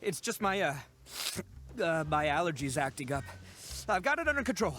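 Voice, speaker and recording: A man's voice: fairly high pitch, sing-song, moderate pace, sharp tone. A man voicing a brash young male oni gang boss for a video game, with theatrical, slangy bluster. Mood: tearful, flustered. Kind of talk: animated video game voice acting.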